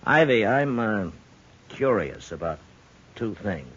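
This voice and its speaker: An actor playing a stern, terse, dry U.S. Marshal in a 1950s radio western. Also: male voice, big pitch swings, takes it slowly, a bit bright, breathy edge, full character voice. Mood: dry, probing. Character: stern, laconic U.S. Marshal of the Old West. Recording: muffled, noisy 1950s radio recording with rumble.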